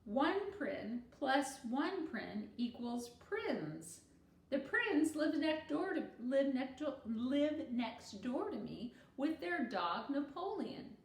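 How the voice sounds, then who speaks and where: A woman's voice: high voice, sing-song, slow pace, recorded from a distance, pitched above the performer's own voice, full character voice. A woman voicing a confident, theatrical little schoolgirl who tells stories with bossy, exasperated emphasis. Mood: impatient, emphatic. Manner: theatrical, emphatic, self-assured. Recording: roomy echo, faint background noise.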